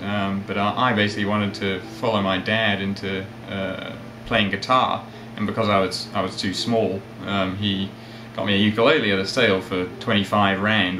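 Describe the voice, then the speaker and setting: Male interview guest, mixed South African-English-Australian accent, reflective and halting, recorded in a noisy, roomy space. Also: fairly low pitch. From South Africa, with years in England and Australia. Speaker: interview guest, ukulele player and magazine editor. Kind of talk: casual interview chat. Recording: roomy echo, steady background noise.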